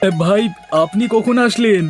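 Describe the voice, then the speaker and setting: A man's voice: high-pitched, moderate pace, natural voice. A man voicing a welcoming, eager younger village brother-in-law in a cartoon. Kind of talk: cartoon voice acting. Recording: music behind the voice.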